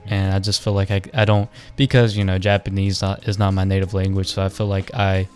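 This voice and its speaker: Young man, lowish voice, hesitant rambling chat, faint music. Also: little pitch movement, fairly quick, darkish tone. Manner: rambling, hesitant, many restarts, joking. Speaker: podcast co-host. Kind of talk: casual chat.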